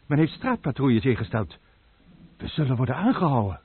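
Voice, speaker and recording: A man's voice: medium pitch, big pitch swings, fairly quick, breathy edge, no room sound. An actor playing a nervous, deferential male servant in a radio drama, on an old tinny recording. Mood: anxious. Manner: anxious, deferential.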